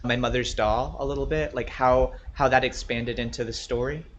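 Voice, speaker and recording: A man interviewing as a podcast host in a supportive, conversational way, gentle and encouraging. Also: mid-range voice, steady tone, moderate pace. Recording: dull lo-fi audio, background noise.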